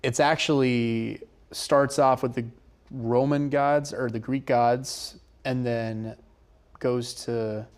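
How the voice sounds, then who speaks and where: Casting: young American male co-host, medium pitch, easygoing, unscripted conversational delivery. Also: a little mellow. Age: young adult. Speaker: local TV station chat co-host. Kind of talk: casual unscripted chat.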